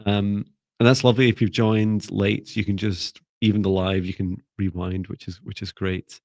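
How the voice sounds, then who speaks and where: Calm, low, gravelly-voiced man. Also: normal speed, no room sound, studio quality. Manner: calm, soft-spoken, gentle; hesitant, with repeated restarts. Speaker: spiritual mentor. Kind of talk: live online class, reading viewer comments.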